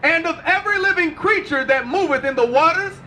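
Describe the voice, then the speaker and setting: A man reading Bible verses aloud in a loud, declamatory shout with drawn-out phrases. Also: very high voice, slight background noise. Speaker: scripture reader for a preacher.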